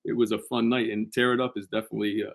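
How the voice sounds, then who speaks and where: A man's voice: fairly low pitch, level tone, racing, gravelly. A man, a guest author, talking in a casual interview with many false starts and repeated words.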